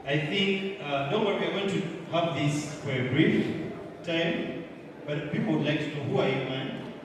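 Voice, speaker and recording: A man's voice: fairly high pitch, moderate pace, distant mic. A man hosting a live event, talking easily and directly to the audience. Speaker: event host. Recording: echoey hall, mic from afar, crowd.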